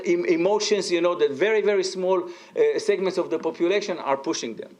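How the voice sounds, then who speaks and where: A man, speaking as a panelist at an academic discussion, hesitating often with fillers and then rising into emphatic bursts. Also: fairly high pitch. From Israel. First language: Arabic.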